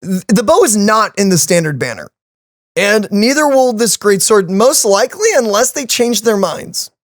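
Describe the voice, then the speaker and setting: A man co-hosting a game reaction video, casually explaining stats with lots of fillers in an animated way. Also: high-pitched, normal speed, no echo, clean studio sound. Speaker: co-host of a game reaction channel.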